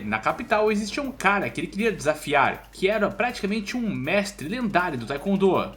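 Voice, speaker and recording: A man's voice: high voice, a bit bright, slight background noise. A man with a Brazilian accent narrating a scripted story recap in casual language, recorded with music underneath. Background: background music.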